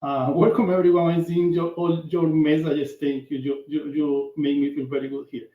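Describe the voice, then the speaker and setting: A man from Venezuela with a Venezuelan Spanish accent, a native Spanish speaker, giving an earnest online workshop lecture over a video call, halting with frequent fillers. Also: fairly high pitch, little pitch movement. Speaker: workshop presenter. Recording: echoey room, dull lo-fi call audio.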